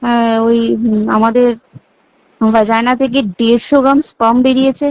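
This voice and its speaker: A woman with a high voice and an Indian Bengali accent talks on a recorded phone call. She explains emphatically, repeats herself and keeps checking she is understood. The sound is dull and lo-fi, with little room echo. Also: flat delivery, normal speed, a little mellow. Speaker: hospital staff member. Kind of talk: private phone conversation, recounting insider details.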